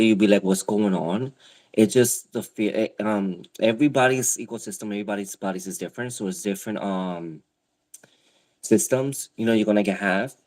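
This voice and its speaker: A man's voice: on the low side, a little mellow, poor audio. A man with a Spanish accent talking candidly in a casual chat, rambling and often breaking off mid-sentence. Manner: rambling, self-interrupting, candid.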